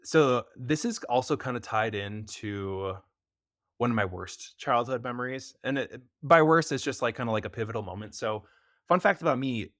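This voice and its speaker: A man's voice: medium pitch, fairly bright tone, no echo, studio quality. A young American man chatting as a podcast guest, talking loosely with lots of fillers. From United States. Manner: fast, loose talk with many fillers. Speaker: podcast guest, preventative health expert. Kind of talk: casual interview chat.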